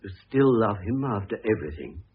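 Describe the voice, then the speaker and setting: An actor playing a lovelorn, bluff middle-aged bachelor in a classic radio drama, earnest, gentlemanly and a little plaintive. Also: male voice, fairly low pitch, expressive, a little fast, slightly rough. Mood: earnest, pleading. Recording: muffled vintage radio play recording.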